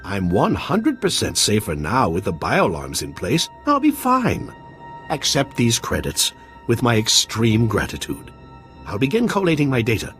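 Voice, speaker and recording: An actor playing an eccentric, courteous scientist in a video game, formal, precise and theatrical with a dry wit. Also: male voice, mid-range voice, wildly swooping pitch, a little slow, fairly bright tone, faint music behind. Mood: delighted, grateful.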